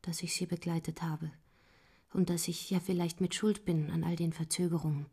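An actress playing a devoted, gentle gentlewoman in love in a German audio drama, tender and earnest. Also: on the low side, little pitch movement, moderate pace, breathy edge. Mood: concerned, tender.

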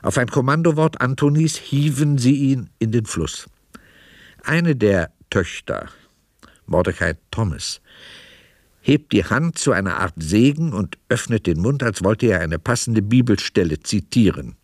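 A man narrating a radio drama from a script in a measured, descriptive way. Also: mid-range voice, animated, no echo, studio quality.

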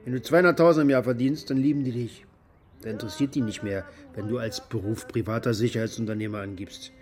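An actor playing a bitter, middle-aged former security contractor in a naturalistic radio drama, gruff, cynical and colloquial. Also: male voice, mid-range voice, fast, darkish tone, lightly acted. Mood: scornful, bitter.

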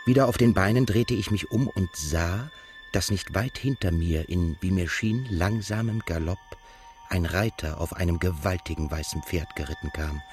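A male actor playing a melancholy, haunted émigré narrator in a literary radio drama, speaking in a measured, reflective way. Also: low-pitched, music in the background, natural voice. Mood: somber, reflective.

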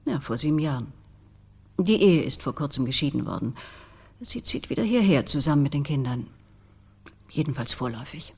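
A woman's voice: deep voice, lively intonation, fairly quick, pauses often, breathy edge, heavily acted. A middle-aged woman playing a reserved politician's wife in a radio crime drama, cool, formal and controlled.

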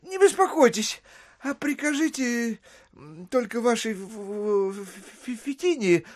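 An actor playing a courteous, ingratiating gentleman traveller in an audio drama, polite and hesitant. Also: extremely high pitch, animated, a little slow. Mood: weary politeness. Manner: polite, hesitant, with many small interjections. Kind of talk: theatrical audio drama acting.

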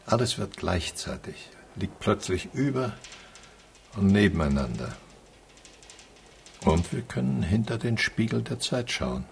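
A man's voice: lowish voice, sing-song, unhurried, creaky, pauses often, a little hiss or hum, exaggerated voice. An actor in a radio drama playing a philosophical man who muses earnestly and reflectively. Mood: musing. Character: musing, philosophical man pondering truth, time and nature.

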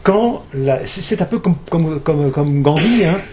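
A man's voice: on the high side, lively intonation, a little slow, a little mellow, a little hiss or hum. A man, from Alsace, speaking as an author at a public talk, hesitant, with many fillers and restarts. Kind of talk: lecture with audience questions.

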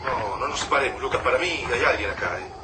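A tense, guarded man of the resistance played by an actor, speaking Argentine Spanish with urgency in a radio drama. His mid-pitched voice swings widely, set back from the mic under loud music. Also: lively intonation, quick pace, recorded from a distance, background noise, natural voice. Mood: wary, tense. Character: Juan, tense, wary armed survivor of deadly snowfall.

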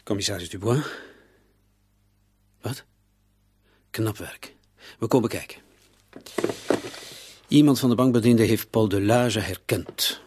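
An actor playing a shrewd, authoritative police commissioner in a radio drama, deliberate and commanding. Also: male voice, on the low side, noisy background. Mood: brisk, commanding. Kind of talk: radio drama acting in dialogue.

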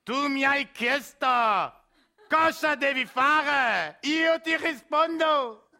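An actor voicing a sanctimonious male parish priest in a radio play, with slow, tremulous preaching. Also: very high voice, vocal fry, sharp tone, pitched above the performer's own voice, heavily acted. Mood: unctuous.

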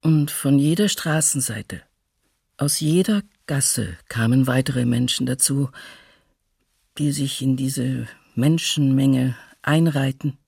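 An actress playing a sombre woman eyewitness giving halting testimony in a documentary radio drama. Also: rumbling low, creaky, dark tone, husky, dry recording, clean studio sound, full character voice. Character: woman eyewitness recalling a silent procession, sombre.

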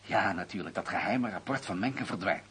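An actor playing a guarded, bureaucratic intelligence official in a radio drama, speaking formally with a husky voice. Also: male voice, low-pitched, moderate pace, fairly bright tone, slight background noise. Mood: hushed reassurance. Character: guarded, bureaucratic male senior intelligence official, covering himself. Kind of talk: Dutch radio drama acting.

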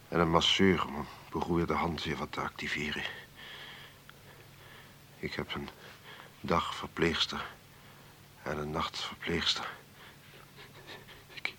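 A man acting a gloomy, ailing, wealthy old uncle in a Dutch radio play, speaking haltingly, wearily and with resignation. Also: rumbling low, pushed and bright, a little hiss or hum, exaggerated voice. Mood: despondent.

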